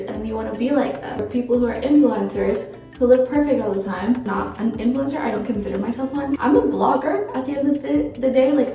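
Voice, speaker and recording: A young woman vlogger talking earnestly to camera, her voice croaky from a late night. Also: fairly high pitch, recorded from a distance. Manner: earnest, encouraging, musing. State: croaky from little sleep. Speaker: lifestyle vlogger. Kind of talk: reflective talk to camera. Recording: echoey, from off the mic, soft music.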